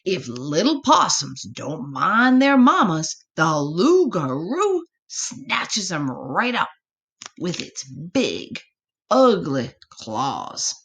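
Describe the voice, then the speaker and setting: A woman's voice: deep voice, very animated, drawn-out words, vocal fry, fairly bright tone, breathy edge, full character voice. A woman voicing a doting mama possum in a storybook, affectionate and dramatically cautionary. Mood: spooky, cautioning.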